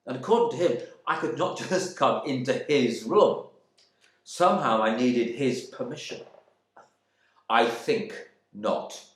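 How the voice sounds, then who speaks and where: A man's voice: medium pitch, lively intonation, a little slow, lightly acted. A man playing a stuffy, bigoted husband back from war in a dramatic monologue, speaking with pompous indignation. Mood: affronted. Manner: pompous, clipped indignation, swinging from muttering to outbursts. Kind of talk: dramatic monologue reading from a novel. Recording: echoey room.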